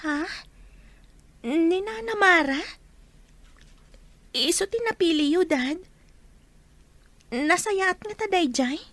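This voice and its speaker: Very high-pitched teenage girl. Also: animated, takes it slowly, long pauses, fairly bright tone, dry recording, heavily acted. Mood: curious, questioning. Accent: Ilocano. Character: teenage daughter, questioning and wary. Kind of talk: radio drama acting. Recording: background music under the voice.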